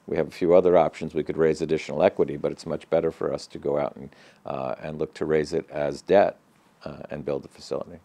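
An older man answering interview questions in an office, speaking in a measured, matter-of-fact way with frequent fillers and restarts. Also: very deep voice, mellow, no echo. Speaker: regional center president, interview guest. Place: office with bookshelves, indoors. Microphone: lapel mic.

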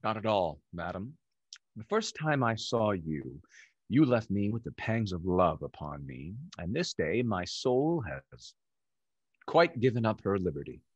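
A man acts a courtly rake in an old comedy of manners, on dry, slightly dull audio. His voice is lowish and moves expressively. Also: very animated, measured pace, husky, no room sound, exaggerated voice. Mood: ardent. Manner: courtly, ardent. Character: smooth-talking male rake, ardent suitor. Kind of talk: classical stage comedy acting. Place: indoors at home, on a video call.

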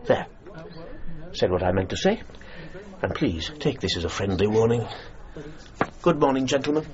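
An actor playing a stern traditionalist general in a radio drama, formal and clipped. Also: male voice, fairly low pitch, animated, normal speed, dull audio, crowd noise, close to an everyday voice. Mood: indignant. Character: stern, pompous traditionalist male army general. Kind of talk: BBC radio drama acting.

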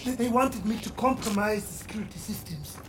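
An actor playing a terrified, pleading technologist informant in a video game, speaking haltingly and fearfully. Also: male voice, sits high, close to an everyday voice. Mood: frightened, pleading. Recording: music behind the voice.

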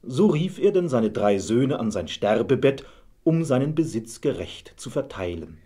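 A man's voice: medium pitch, big pitch swings, moderate pace, mellow, breathy edge. A man narrating a scripted fairy tale as the storyteller.

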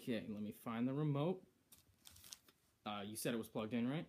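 A teenage boy hosting a comedic skit in a living room, bossy and exasperated, bursting into shouts, recorded on a camera mic a few feet away. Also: medium pitch, moderate pace. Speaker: host. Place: decorated living room indoors.

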